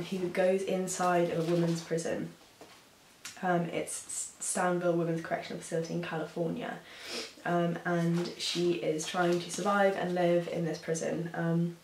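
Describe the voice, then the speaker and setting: A young woman, hoarse from a sore throat, chatting casually about books with frequent ums. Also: on the low side, a little mellow. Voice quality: husky. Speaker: book reviewer. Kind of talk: casual chat to camera. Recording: roomy echo with faint hiss.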